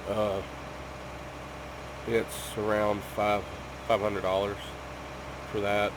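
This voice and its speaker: A man's voice: fairly low pitch, monotone, slow pace, noisy background. A man who is sick with a cough, chatting casually to his viewers at home in a rambling way, full of ums and restarts. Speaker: gaming YouTuber.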